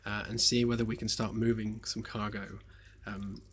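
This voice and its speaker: A man developing a game on a live stream, chatting casually as he works, slightly hoarse and rambling with lots of fillers. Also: lowish voice, little pitch movement, moderate pace, a bit bright, a little hiss or hum. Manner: rambling, hesitant, many fillers, self-deprecating jokes. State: slightly hoarse, losing his voice. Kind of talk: relaxed live-stream chat while working. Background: faint lo-fi background music.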